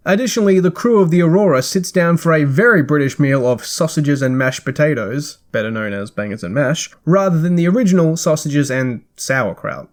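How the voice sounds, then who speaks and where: A man hosting a podcast, reading a scripted review in a measured, articulate way with dry, wry asides. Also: on the high side.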